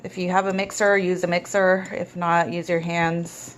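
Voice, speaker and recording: A woman with a cold and a raspy voice, giving relaxed step-by-step instructions in a casual cooking tutorial. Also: fairly low pitch, normal speed, a little hiss or hum. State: hoarse with a cold. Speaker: home cook.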